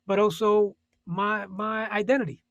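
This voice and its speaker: A man's voice: high-pitched, level tone, unhurried, no echo, highs cut off. A man from Puerto Rico, a jazz musician, talking casually and thoughtfully on a panel, searching for words with many restarts.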